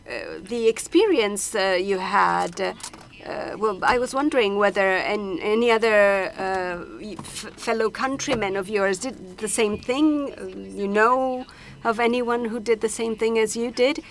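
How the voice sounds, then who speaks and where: A woman interpreting a panel discussion simultaneously, halting often with hesitations and restarts as she renders each speaker. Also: medium pitch. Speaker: conference interpreter.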